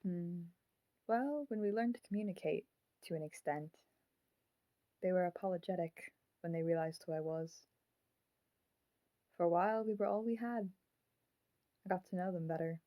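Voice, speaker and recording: A woman voicing the grown-up ghost of a murdered child in a puppet, speaking haltingly and solemnly in an audio drama. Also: on the low side, mellow, no room sound, natural voice. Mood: subdued, reflective.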